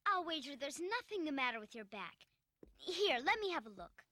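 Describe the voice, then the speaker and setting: A woman playing a spirited, headstrong young girl in an animated drama, blunt, bossy and emphatic. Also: high voice, moderate pace, a little hiss or hum, exaggerated voice. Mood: reassuring.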